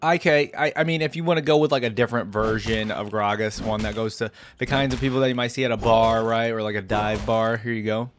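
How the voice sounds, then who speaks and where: A man's voice: on the low side, steady tone, fast talker, few pauses, faint music behind. A man giving casual reaction commentary as a video creator, thinking aloud and hedging his opinions as he goes.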